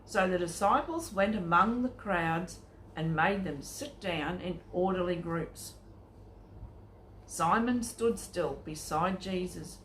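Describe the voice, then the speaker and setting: A woman reading a children's Bible story aloud as a storyteller, with an expressive delivery aimed at young listeners. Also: lowish voice. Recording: echoey room with faint noise.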